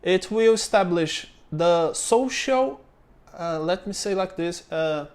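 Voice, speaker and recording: A Brazilian man with a fairly high voice talks through business steps in English, in no hurry. He has a clear Brazilian Portuguese accent, searches for words, and keeps checking in with "okay?". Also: lively intonation, darkish tone. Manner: halting, frequent fillers and checks of "okay?". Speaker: former business owner explaining steps. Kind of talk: informal explainer to camera.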